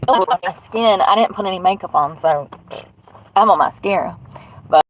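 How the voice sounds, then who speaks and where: A woman's voice: mid-range voice, moderate pace, rough recording. A woman with a stuffy nose, rambling bluntly and swearing casually as she hosts a live stream from inside her truck. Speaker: livestream host. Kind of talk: casual livestream chat.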